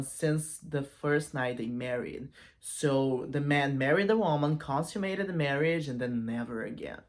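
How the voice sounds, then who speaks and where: A chatty, campy man talking through a story for his book channel. He slips into quoted voices and sassy asides as he goes, in a loose, conversational way. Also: a little high. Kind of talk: casual literary analysis.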